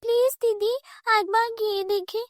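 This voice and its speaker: A woman as an eager high-pitched pleading little girl. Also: little pitch movement, normal speed, no room sound, studio quality. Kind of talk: children's fairy-tale cartoon dub.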